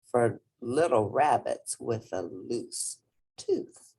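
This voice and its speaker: A woman's voice: rumbling low, animated, extremely slow, warm tone, breathy edge, voice pushed down, heavily acted. A woman reading a storybook as a young girl rabbit, childlike, questioning and stubborn. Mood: fussy, doubtful. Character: curious young girl rabbit with loose tooth.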